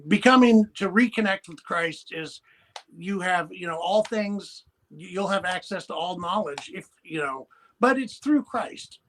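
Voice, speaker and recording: A man with a high-pitched voice talks as a podcast guest. He rambles through stories with frequent restarts and fillers, in a blunt, folksy way. He grew up in the Pacific Northwest. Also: animated, unhurried, a bit bright, slight background noise. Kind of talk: rambling conversational storytelling.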